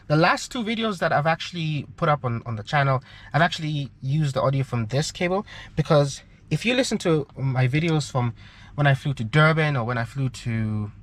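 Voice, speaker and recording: A man with a South African accent and a mid-range voice hosts an informal how-to. He explains equipment step by step in a hesitant, explanatory manner, feeling his way through the wording. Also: mid-range voice. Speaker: aviation channel host. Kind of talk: informal spoken tutorial, demonstrating gear.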